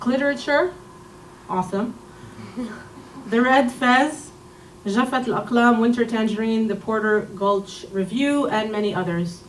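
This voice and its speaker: A woman emcees an open mic at a community arts center, chatty and encouraging as she introduces the next reader. Her voice sits a little high for a woman. The podium mic picks her up from a distance, in an echoey room with faint background noise. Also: unhurried, long pauses. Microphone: podium mic on a stand.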